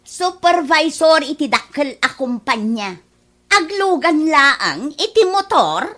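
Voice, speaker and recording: A woman's voice: sits high, big pitch swings, slow, bright, forceful tone, full character voice. A woman playing a snooty, boastful, newly promoted supervisor in an Ilocano comedy radio drama, with an Ilocano accent. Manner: haughty, fussy.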